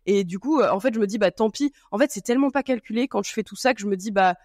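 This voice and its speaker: A woman's voice: medium pitch, fast talker, darkish tone, no room sound, clean studio sound. A woman hosting a podcast, chatting casually and rambling with many fillers and restarts. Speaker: podcast host. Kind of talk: casual chat.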